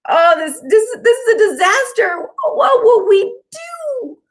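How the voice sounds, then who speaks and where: A woman's voice: extremely high pitch, very animated, slow, pitched above the performer's own voice, exaggerated voice. A woman voicing small, easily upset paint scribbles in a plaintive way for a children's storybook. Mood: panicked. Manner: plaintive, fretful.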